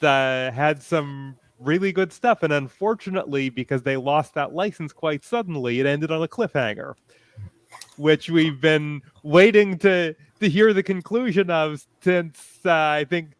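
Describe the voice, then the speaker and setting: Guy on a fan panel, kinda high smooth voice, really clean, no room echo, casual and keen. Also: a little slow. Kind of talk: casual, enthusiastic fan discussion.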